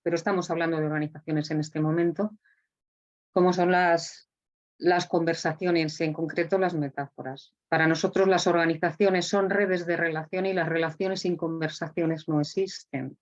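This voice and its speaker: A woman hosting an online talk, introducing a speaker with halting, deliberate phrasing and drawn-out words. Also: low voice, steady tone, lo-fi sound. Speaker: host introducing a guest speaker.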